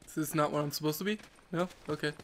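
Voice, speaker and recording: A man's voice: fairly high pitch, fairly even intonation, fast talker, fairly bright tone, a little hiss or hum. Congested young man rambling over gameplay. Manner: rambling, jokey, self-mocking. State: congested from allergies. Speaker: let's play host. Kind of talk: casual gameplay commentary.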